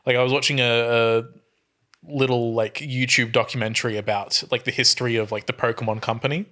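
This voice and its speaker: A man's voice: mid-range voice, steady tone, fairly quick, pushed and bright. A man co-hosting a casual podcast, explaining things in an earnest, rambling way. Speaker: podcast co-host.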